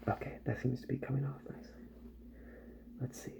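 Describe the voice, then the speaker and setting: A man playing a calm, attentive robot repair doctor in a soft-spoken ASMR roleplay, hushed and unhurried, often slipping into a whisper. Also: medium pitch, gravelly. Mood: calm, focused. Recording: dull sound, loud background noise.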